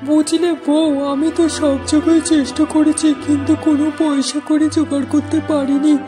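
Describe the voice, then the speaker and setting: High-voiced worried village father played by a woman. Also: level tone, normal speed, lightly acted. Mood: worried, resigned. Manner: anxious, resigned. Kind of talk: cartoon story dialogue. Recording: loud music under the voice.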